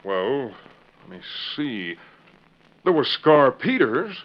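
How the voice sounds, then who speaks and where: An actor playing a surly, defiant frontier jail guard with rustic, grumbling western talk in 1940s radio style. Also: male voice, mid-range voice, very animated, slow pace. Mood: reluctant. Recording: dull vintage radio drama recording.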